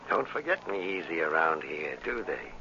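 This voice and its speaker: A man's voice: extremely low pitch, exaggerated voice. An actor playing a cocky, flirtatious tough guy with slangy, smug swagger in a 1940s radio drama. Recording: muffled, noisy old radio recording.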